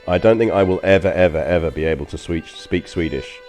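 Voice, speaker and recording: A man with a London accent, deep-voiced, muses aloud to his stream chat while playing a strategy game. His tone is mellow and unhurried, and his sentences wander with ums and uhs. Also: faint music behind. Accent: London English. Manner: rambling and chatty, frequent ums and uhs. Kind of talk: casual gameplay commentary to stream chat.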